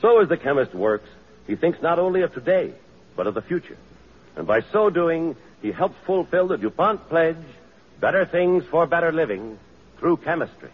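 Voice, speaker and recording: A male announcer speaks with sweeping, emphatic intonation in an old radio style. His voice is husky and mid-pitched, on a dull vintage recording. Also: big pitch swings, moderate pace. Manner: declamatory, emphatic delivery. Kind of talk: 1930s-40s radio announcing, scripted. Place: auditorium stage.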